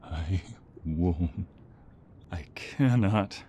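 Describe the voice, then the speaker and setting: A man's voice: low-pitched, drawn-out words, warm tone, a little hiss or hum. A man playing a defiant, wounded mobster who protects the woman he loves, strained and emphatic in an audio roleplay. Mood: grim resolve. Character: defiant, wounded young male mobster protecting his girlfriend. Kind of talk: audio roleplay drama acting.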